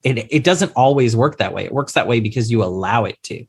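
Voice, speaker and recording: An emphatic man gives advice as a podcast guest, with a middle-pitched voice. He stresses his points and often asks questions back, keeping a plain, explanatory manner. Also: expressive, brisk, vocal fry, slightly rough. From Louisiana, USA. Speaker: insurance attorney guest. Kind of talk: podcast interview, advising investors.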